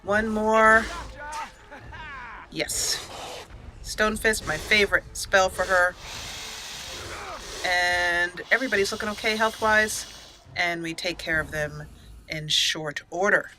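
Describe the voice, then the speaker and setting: A woman's voice: on the low side, takes it slowly, long pauses, noisy background. A woman hosting a let's play, chatting playfully through her gameplay, laughing often and reading game text aloud. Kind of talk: gameplay commentary.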